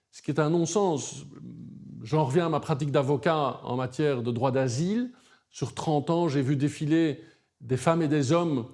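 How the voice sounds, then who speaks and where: A man's voice: a little high, dark tone. A middle-aged man giving articulate, emphatic interview answers as a human rights advocate. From Belgium. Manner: articulate, emphatic, builds argument in lists.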